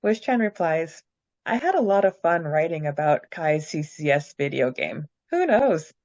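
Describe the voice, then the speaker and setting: A woman's voice: low-pitched, sing-song, normal speed, a little mellow, dry recording, lo-fi sound. A woman co-hosting a podcast, chatting casually and reading out listener questions and answers in an upbeat way. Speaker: podcast co-host.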